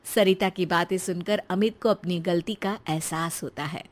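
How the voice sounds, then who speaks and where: A woman's voice: fairly low pitch, moderate pace. A woman narrating a scripted story as its storyteller.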